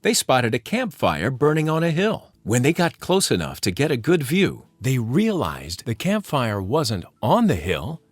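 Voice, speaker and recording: A man's voice: medium pitch, wildly swooping pitch, unhurried, few pauses, slightly rough, no room sound. A man narrating a children's storybook in a dramatic read-aloud style.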